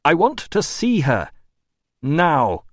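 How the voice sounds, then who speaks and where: A man's voice: medium pitch, lively intonation, normal speed, no room sound, clean studio sound, lightly acted. Man playing an urgent, commanding army doctor. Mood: demanding. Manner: clipped, insistent, authoritative. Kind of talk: audiobook character voice.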